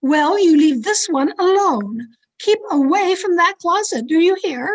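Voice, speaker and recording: A woman's voice: extremely high pitch, expressive, a little slow, full character voice. A woman playing an anxious, guarded mystery novelist in an old-time radio drama, with a formal, theatrical delivery. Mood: sharp, commanding. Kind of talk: old-time radio drama acting, first-person suspense narration. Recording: remote video-call recording with music behind.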